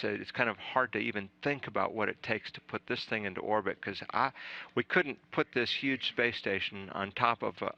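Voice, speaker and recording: Man, astronaut, gravelly, halting with restarts, muffled lo-fi audio. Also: on the low side, sharp tone. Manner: halting, lots of uh and repeated starts. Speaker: astronaut answering questions. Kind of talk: casual explaining with many restarts.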